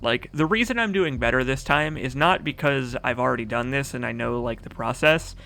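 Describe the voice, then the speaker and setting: Gravelly bright-voiced man streaming a game. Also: mid-range voice, a little fast. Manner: chatty, rambling, reads chat aloud, laughs often. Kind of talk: casual gameplay commentary.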